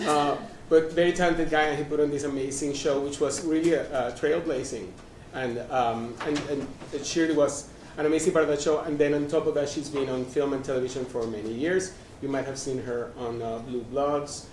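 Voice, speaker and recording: A man's voice: mid-range voice, a little mellow. A man hosting a live panel discussion at a museum, introducing guests in a chatty, rambling way with many restarts. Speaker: panel moderator. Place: event room at a museum. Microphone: live stream. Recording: roomy sound from a distance with faint noise.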